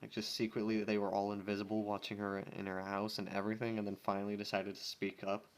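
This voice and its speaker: A man's voice: fairly low pitch, monotone, fast. A man reading fan fiction casually, breaking off into exasperated, sarcastic asides.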